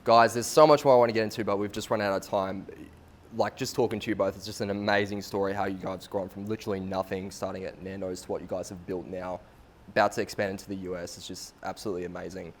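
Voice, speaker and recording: Upbeat fast-talking podcast host. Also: male voice, deep voice, flat delivery, slight background noise. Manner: upbeat and enthusiastic. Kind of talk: casual interview hosting.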